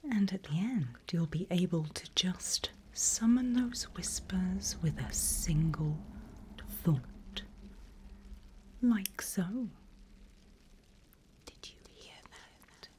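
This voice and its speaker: Female ASMR demon guide: mellow, breathy whisper, unhurried, teasing and quietly menacing. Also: on the low side, animated, a little hiss or hum. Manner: slow, deliberate, playful menace. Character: seasoned female demon mentor, sly and teasing. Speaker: ASMR roleplay performer. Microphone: close ASMR microphone.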